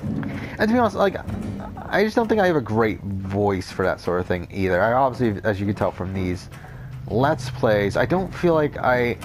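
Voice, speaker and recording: A young man with a cold, rambling through casual gameplay commentary, talking off the top of his head with a deep, unclear voice and frequent swearing. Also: very animated, moderate pace, music in the background. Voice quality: deep, not clear. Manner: rambling, unscripted, says whatever comes to mind, swears often. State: has a cold. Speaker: Let's Play commentator.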